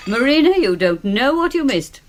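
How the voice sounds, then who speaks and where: A woman's voice: sits high, big pitch swings, moderate pace. An actress playing an arch, witty, well-bred British lady in a radio drama, teasing as she talks. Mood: merry, teasing.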